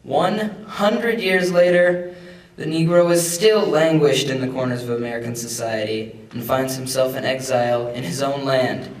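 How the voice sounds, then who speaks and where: A man's voice: medium pitch, fairly even intonation, normal speed. A young man, a student, giving an emphatic dramatic reading of a historic speech. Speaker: student reader. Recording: echoey hall, distant microphone.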